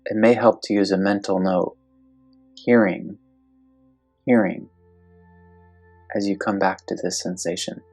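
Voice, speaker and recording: Low, creaky-voiced meditation guide. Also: steady tone, faint music behind. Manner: slow, gentle, instructional.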